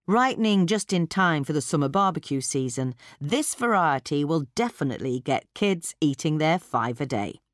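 A woman's voice: sits low, no room sound, clean studio sound. A woman presenting a gardening guide as scripted instructional narration.

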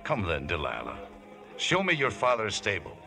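A bold biblical strongman and brash suitor in a 1950s radio drama. The voice is low, and the delivery swings widely with theatrical confidence. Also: wildly swooping pitch, moderate pace, vocal fry, over music, exaggerated voice. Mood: amused, bantering.

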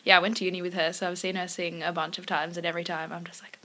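A woman's voice: lowish voice, level tone, very fast, bright, forceful tone. A young woman musician, a guest on a radio show, chatting in an upbeat way with lots of laughs and fillers. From born in London, raised in Singapore and Melbourne. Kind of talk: casual interview chat.

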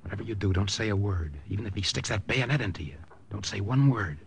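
An actor playing a hardboiled American secret agent, terse and dramatic, with an American accent in an old radio drama. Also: male voice, lowish voice, expressive, quick pace, gravelly, a little hiss or hum. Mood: tense, terse. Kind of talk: dramatic first-person narration, old-time radio acting.

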